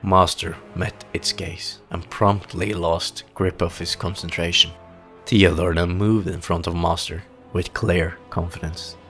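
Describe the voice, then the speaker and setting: Casting: male narrator, very low voice, dramatic fantasy audio drama delivery over clear music. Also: fairly bright tone. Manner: dramatic storytelling.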